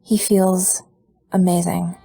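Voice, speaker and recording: Mellow woman reading a story aloud. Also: fairly low pitch, takes it slowly, gravelly. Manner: relaxed storytelling with voiced dialogue. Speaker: podfic reader. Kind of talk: reading fan fiction aloud.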